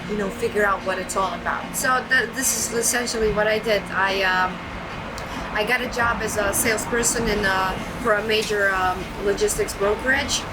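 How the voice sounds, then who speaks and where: A woman's voice: medium pitch, fairly even intonation, normal speed. A young woman from Serbia, a guest in an interview, telling her story in a chatty, self-deprecating way with many fillers and restarts. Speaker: guest, trucking entrepreneur. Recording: loud background noise.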